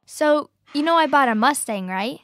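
An actress playing a patient, easily dismayed young big sister in an audio drama. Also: female voice, high-pitched, lively intonation, normal speed, a bit bright, dry recording, clean studio sound. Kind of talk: family audio drama acting.

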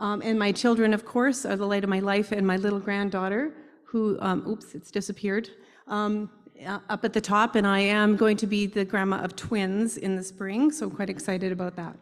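A woman's voice: mid-range voice, little pitch movement, normal speed. An older woman from Saskatchewan, a health research chair, presenting on a video call in a personal way with ums and uhs. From Saskatchewan, Canada. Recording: roomy reverb.

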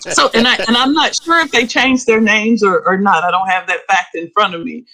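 A middle-aged woman historian speaking as a guest on a video call, telling stories in an animated way that often breaks into laughter. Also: mid-range voice, fairly quick, crowd noise, poor audio. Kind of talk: casual interview chat. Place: home room with bookshelves, indoors.

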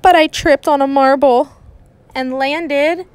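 A woman teacher reading a children's storybook aloud in an expressive, emphatic way with dramatic pauses. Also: sits high, no echo.

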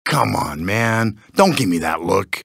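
Lively, chummy male heister. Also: fairly low pitch, unhurried, creaky, slightly rough, dry recording, clean studio sound, heavily acted. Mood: placating. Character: chummy, cajoling male heist gang member. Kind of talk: casual video game dialogue.

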